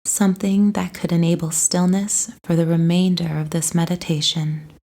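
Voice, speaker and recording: A woman narrating a scripted guided meditation in a soothing, gentle, unhurried way. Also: fairly low pitch, flat delivery.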